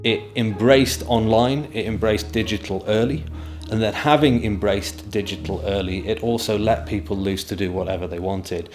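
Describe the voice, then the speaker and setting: Low-pitched man over soft guitar. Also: fairly even intonation, normal speed, hardly any pauses. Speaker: director. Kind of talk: interview.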